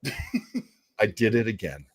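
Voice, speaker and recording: A man's voice: on the low side, animated, normal speed. A man hosting a casual live talk show, telling stories in a chatty, jokey, self-deprecating way. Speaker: host. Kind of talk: casual live show chat about old-time radio.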